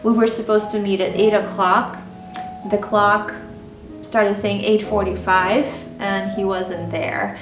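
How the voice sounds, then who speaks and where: A woman's voice: medium pitch, little pitch movement, takes it slowly, distant mic. A woman from Ukraine whose first language is Russian, telling personal stories to camera in a candid, conversational way with many fillers. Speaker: style and mindset vlogger. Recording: roomy echo with music bed.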